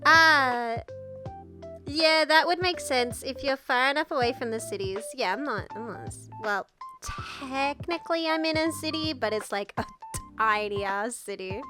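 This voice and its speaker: High-pitched Australian woman, stuffy nose. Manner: giggly, rambling, swears casually. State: congested and nasal from the flu. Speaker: streamer. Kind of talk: casual chat reading viewer messages. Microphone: VR headset mic. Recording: streamed with background music. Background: music playing.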